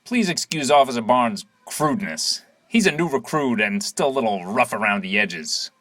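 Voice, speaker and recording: An actor playing a brisk police sergeant with an insistent, official manner in an audio drama. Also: male voice, mid-range voice, lively intonation, fairly bright tone, no echo. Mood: placating. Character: brisk male police sergeant questioning witnesses.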